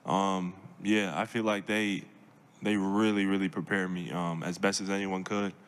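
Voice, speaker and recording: A young man from Seattle answering reporters' questions at a press conference, measured, with many fillers and restarts. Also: sits low, flat delivery, clear, resonant tone. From Seattle, Washington. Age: young adult. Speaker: draft pick at press conference.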